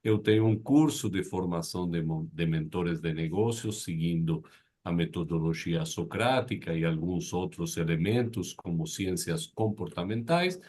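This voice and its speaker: A man's voice: sits low, lively intonation, moderate pace, a little mellow, slightly rough. A man in his fifties with an Argentine Spanish accent, introducing himself on a live video panel in an upbeat, polite way. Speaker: board advisor and business mentor. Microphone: video call.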